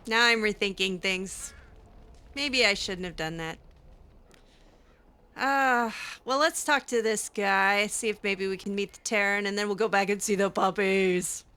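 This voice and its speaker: Female gamer, unhurried and bright, casual playful roleplay commentary with a higher-set voice. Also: clear, resonant tone. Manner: playful, reacting in character. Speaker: gamer doing a roleplay playthrough. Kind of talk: casual in-character game commentary.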